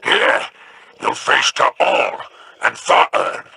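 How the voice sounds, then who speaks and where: An actor voicing a sly, scheming male alien crime lord in a video game, gravelly and wry with insinuating remarks. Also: very slow, bright, forceful tone.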